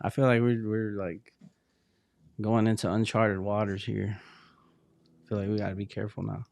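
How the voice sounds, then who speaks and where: A man with a fairly low voice talks casually in a dry, close recording. His speech is loose and slangy, peppered with swearing. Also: little pitch movement, normal speed, creaky, a little mellow, no room sound. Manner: heavy swearing and street slang. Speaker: vlogger interviewing a witness.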